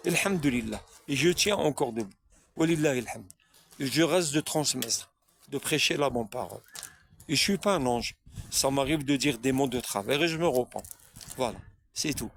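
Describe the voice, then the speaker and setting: A man's voice: mid-range voice, a little hiss or hum. A man born in France giving a spontaneous religious monologue, preaching and throwing rhetorical questions at his viewers, repeating himself.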